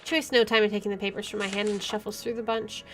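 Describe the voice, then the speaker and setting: A woman with a medium-pitched voice plays a story game, reading the narration aloud. She reacts casually as she goes, with clear music behind her. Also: level tone, fast, fairly bright tone. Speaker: gamer playing and reading a visual novel. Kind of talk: gameplay commentary with narration read aloud.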